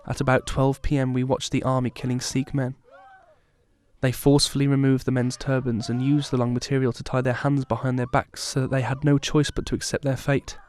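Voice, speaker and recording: A male actor as a haunted survivor in an audio drama. He tells of his traumatic youth in a solemn, measured way, with a medium-pitched voice. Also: flat delivery, a little mellow, natural voice. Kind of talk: scripted first-person drama narration.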